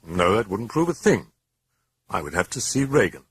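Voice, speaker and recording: A man's voice: lowish voice, big pitch swings, moderate pace, a little hiss or hum, pitched above the performer's own voice. A man voicing a sceptical, controlled priest in an audiobook, terse and questioning. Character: sceptical, probing male priest, controlled and firm. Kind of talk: audiobook character voice.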